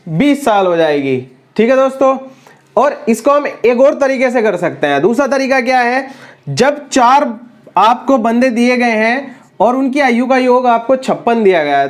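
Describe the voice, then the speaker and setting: A man with a sore throat teaching an exam-prep math lesson, working through problems step by step and addressing students as friends. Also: very high voice.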